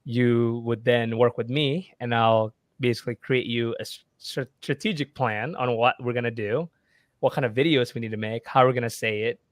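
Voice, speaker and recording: Man, twenties, from Indonesia, casual chatty guest, dry sound, mid pitch. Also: normal speed, dry recording. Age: 20s. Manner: relaxed, chatty, many fillers and restarts. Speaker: guest, marketing agency owner. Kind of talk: casual podcast interview.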